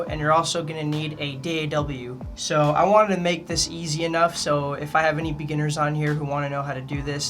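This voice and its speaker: A man's voice: on the high side, a bit bright. A man hosting a casual instructional tutorial, speaking to viewers directly in a friendly way. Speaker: host of a music gear tutorial channel.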